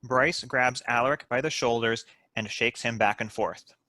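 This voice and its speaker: A man's voice: mid-range voice, fairly even intonation, normal speed, bright, forceful tone, no room sound. A man reading stage directions as scripted narration for a table read.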